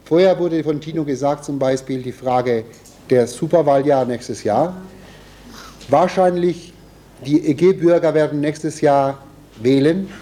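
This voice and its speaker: A man speaking spontaneously in a discussion, in halting, fragmented phrases. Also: a little high, mellow.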